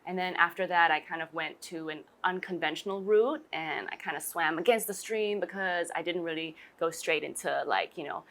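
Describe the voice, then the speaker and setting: A young woman from Singapore in her twenties talks casually as an interview guest. Her voice sits somewhat low, and her sentences wander, full of "like" and "you know". She is recorded outdoors by a pool. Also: a little fast, few pauses, fairly bright tone. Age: 20s. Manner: chatty, says "like" and "you know" often.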